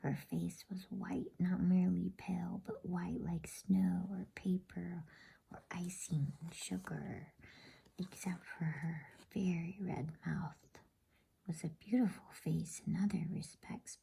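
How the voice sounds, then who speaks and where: Slow, breathy whispering woman storyteller. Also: lowish voice, fairly even intonation, warm tone. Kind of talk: ASMR bedtime story reading.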